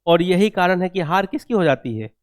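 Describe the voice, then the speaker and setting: High-pitched male history teacher, lecturing. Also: animated, brisk, no echo, clean studio sound. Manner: didactic, repeats phrases and asks rhetorical check questions. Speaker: exam coaching history teacher. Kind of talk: classroom lecture with dictated answer points.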